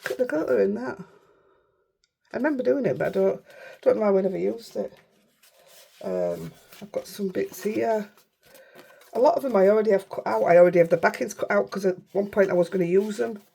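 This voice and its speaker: Woman, Yorkshire accent, hoarse and croaky, gravelly, mellow dark tone, chatty and rambling. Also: mid-range voice, a little fast, pauses often. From Yorkshire, England. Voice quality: croaky, rough voice. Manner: chatty, rambling, self-interrupting. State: unwell, hoarse. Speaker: craft channel host. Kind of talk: casual crafting tutorial.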